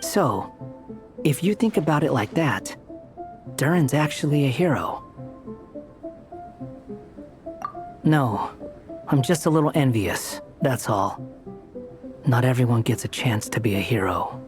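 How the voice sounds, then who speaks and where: A man's voice: medium pitch, lively intonation, a little slow, over music, lightly acted. A man playing an aloof, sardonic young wanderer in a video game, with a dry, cutting delivery. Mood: wry.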